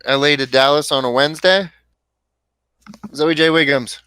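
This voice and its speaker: A man's voice: on the high side, steady tone, normal speed, pushed and bright. A man in his late twenties hosting a livestream, joking and teasing his co-host. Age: 20s. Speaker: streamer host. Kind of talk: casual livestream chat.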